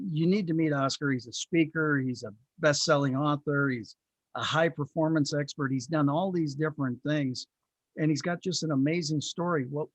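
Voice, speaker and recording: Man, slightly high voice, dry close sound, chatty interview host, hesitant phrasing. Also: dry recording, low-quality recording. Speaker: talk show host. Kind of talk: conversational interview hosting.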